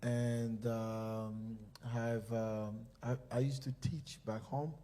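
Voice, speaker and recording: A man's voice: medium pitch, flat delivery, slow pace, mellow, a little hiss or hum. A man, vice president of a ministry, introducing himself on a church panel in a cordial, slightly hesitant way.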